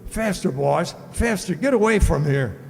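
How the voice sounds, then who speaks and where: A man's voice: high voice, lively intonation, a little slow, vocal fry, exaggerated voice. A man playing a nervous, loyal gangster henchman in a radio drama, with blunt, anxious tough-guy talk. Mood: panicked. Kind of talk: old-time radio drama acting. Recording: hollow reverb with background noise.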